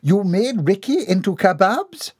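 Proper hostess put on by a reader, polite, measured, lifted voice. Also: medium pitch, sing-song, a little mellow, slightly rough, dry recording, studio quality, pitched above the performer's own voice. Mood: flustered. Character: genteel lady of the house.

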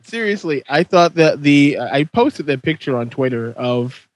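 A man hosting a podcast on a call, with a high-pitched voice, swearing and joking in casual chat. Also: lively intonation, a little slow, no room sound. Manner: profane, joking banter. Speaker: podcast host.

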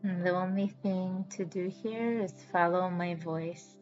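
A woman leads a guided relaxation in a soothing, unhurried voice at a middling pitch. She gives each instruction slowly and leaves deliberate pauses. The sound is a little dull, with music playing behind her. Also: steady tone, darkish tone. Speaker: yoga nidra guide and podcast host. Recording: slightly dull audio with background music.

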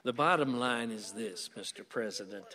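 An actor in a radio play voicing a stubborn, sardonic conservative gun owner, combative and folksy with a dry delivery. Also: male voice, on the low side, normal speed, pushed and bright. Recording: long hall-like reverb.

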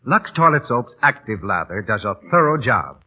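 A man announces in vintage radio advertising style. His delivery swings widely in pitch, and his voice has a husky edge. The sound is dull and aged. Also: sing-song, moderate pace. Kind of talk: 1930s radio ad read. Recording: muffled old 1930s radio recording.

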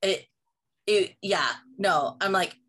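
A woman's voice: medium pitch, slow pace, a bit bright, slightly rough. A woman co-hosting a casual conversational podcast, talking in an emphatic way. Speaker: podcast co-host.

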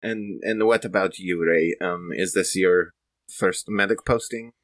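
A man's voice: deep voice, unhurried, a bit bright, no echo. A performer playing a polite, reserved female doctor in a sci-fi tabletop roleplay, with formal, hesitant wording. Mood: polite, earnest. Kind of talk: improvised in-character tabletop roleplay.

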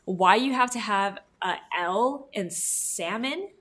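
Bright-voiced chatty woman, native Spanish speaker. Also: medium pitch, expressive, slow. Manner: chatty, many fillers like "like" and "you know". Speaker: library podcast co-host. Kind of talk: casual chat.

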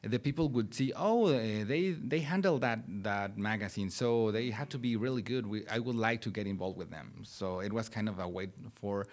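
A young man from Mexico with a Mexican accent, a Spanish speaker telling his story as a podcast guest in lively, animated bursts. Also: fairly low pitch, moderate pace, few pauses. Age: young adult. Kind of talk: casual interview chat.

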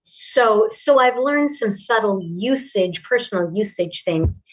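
An older woman in her sixties talks in a measured, articulate way about her teaching. The recording sounds dull and lo-fi. She is a little away from the mic. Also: medium pitch, lively intonation, far from the mic. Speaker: voice and acting teacher. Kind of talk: casual interview conversation. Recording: dull lo-fi audio, slightly off-mic.

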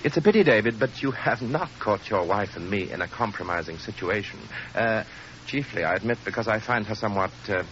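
A pompous, swooping, sardonic aristocrat. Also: male voice, on the low side, animated, fairly quick, fairly bright tone. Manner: pompous, florid, sneering diction. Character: arrogant, sardonic aristocratic man, theatrical and insulting. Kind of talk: old radio drama acting. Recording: muffled old radio recording, faint noise.

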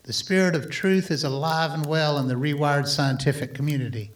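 A man's voice: on the high side, fairly even intonation, fairly quick, close to an everyday voice. An actor playing an earnest, guilt-ridden older male scientist who speaks in formal, literary phrases in a radio play. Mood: resolute.

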